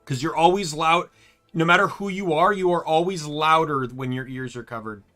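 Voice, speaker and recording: A man with a bright, slightly high voice talks loosely while he plays a video game in his room. He rambles and trips over his own sentences, and quiet game music plays underneath. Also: normal speed. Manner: rambling, self-correcting. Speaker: streamer. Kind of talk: casual gameplay chat. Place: home room.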